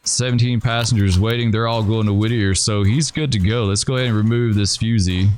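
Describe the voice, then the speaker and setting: A man's voice: fairly low pitch, fairly even intonation, brisk, a little mellow. Man thinking aloud over a train game. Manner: thinking aloud, rambling and hesitant. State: losing his voice. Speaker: gaming channel host. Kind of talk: casual gameplay commentary.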